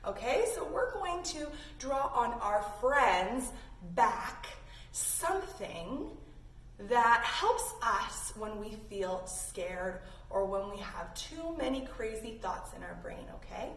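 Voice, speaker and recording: Animated woman teaching kids, roomy and distant. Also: on the high side, slow pace. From Canada. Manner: animated, child-directed, addresses listeners as friends. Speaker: children's yoga teacher and host. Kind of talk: instructional kids' lesson, casual and encouraging. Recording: roomy echo, distant mic, faint noise.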